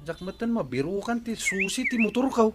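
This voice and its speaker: A man's voice: high-pitched, lively intonation, moderate pace, heavy background noise. A man playing a hapless, whiny tricycle-driving husband in Ilocano-accented radio comedy drama. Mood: flustered.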